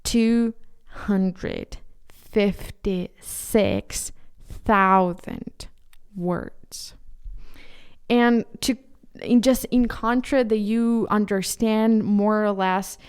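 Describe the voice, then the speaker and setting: A Ukrainian woman, a native Ukrainian speaker, hosting a solo podcast in English with an earnest, halting delivery full of restarts. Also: mid-range voice, a little mellow, smooth. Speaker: podcast host.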